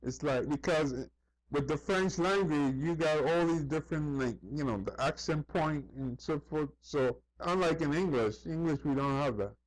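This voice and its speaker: A man with a somewhat higher-pitched voice speaks in a loose, conversational monologue. He circles back over his phrases, drops in "you know" as he goes, and voices other people's remarks while telling his stories. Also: moderate pace, rough recording. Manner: conversational, repeats himself, often says "you know". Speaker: vlogger. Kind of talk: casual rambling monologue with anecdotes.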